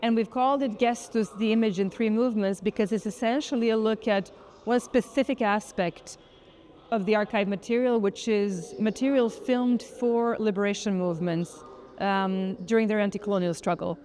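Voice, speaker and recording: Woman artist doing an interview, mellow relaxed voice, thoughtful and articulate, explaining their project. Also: medium pitch, normal speed. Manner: articulate, thoughtful, frequent "kind of" hedging.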